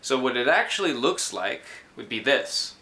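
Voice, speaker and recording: Male host, twenties, bright tone, mid pitch, informal technical explainer. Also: very animated, normal speed. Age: 20s. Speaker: host explaining his electronics project. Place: small room indoors.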